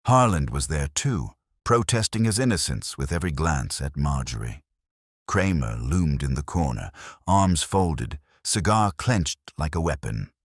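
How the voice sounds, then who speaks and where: A man narrates a detective story in an extremely low voice. His pitch moves around in a lively way as he reads the scripted first-person prose. The sound is dry. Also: a little slow, no echo.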